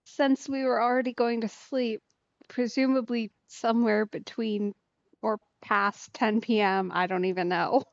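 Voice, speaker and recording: A woman's voice: a little high, fairly even intonation, measured pace, dry recording, lo-fi sound. A woman gaming streamer giving casual, wry let's play commentary, reacting aloud to the story.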